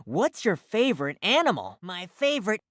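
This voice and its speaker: A woman's voice: medium pitch, huge pitch swings, normal speed, dry recording, clean studio sound. A woman voicing cheerful, inquisitive puppy characters in an educational preschool cartoon, with an exaggerated sing-song teaching delivery. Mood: bright, inquisitive. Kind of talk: scripted children's educational cartoon dialogue.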